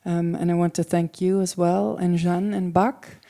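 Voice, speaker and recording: Woman, native Dutch speaker, panel participant. Low, very mellow voice, considered and articulate, speaking into a handheld mic. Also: flat delivery, normal speed, creaky. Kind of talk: personal reflection at a public panel. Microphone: handheld microphone.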